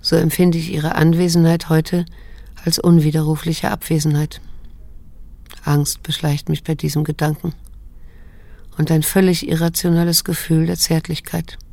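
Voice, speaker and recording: An actress playing a restrained, wounded grown daughter in a radio drama, measured and introspective, with a creaky voice. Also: female voice, deep voice, little pitch movement, mellow, no echo. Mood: reflective, sorrowful. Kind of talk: radio drama monologue and dialogue.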